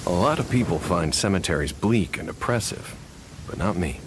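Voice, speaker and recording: An actor playing a kindly, contemplative man who frequents a cemetery in a fantasy video game, speaking in a measured, sympathetic way. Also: deep voice, expressive, noisy background. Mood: reflective. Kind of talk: English video game voice acting.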